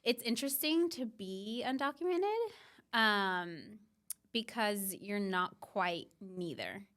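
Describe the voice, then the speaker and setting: A woman's voice: mid-range voice, expressive, takes it slowly, fairly bright tone. A young woman from Mexico, a podcast guest talking through her life in a reflective, casual way with plenty of fillers. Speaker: podcast guest, business founder.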